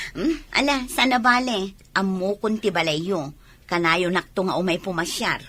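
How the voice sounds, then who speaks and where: A woman's voice: mid-range voice, a little slow, fairly bright tone. A woman with an Ilocano accent acting as a cheerful, chatty middle-aged teacher in a radio drama, full of exclamations.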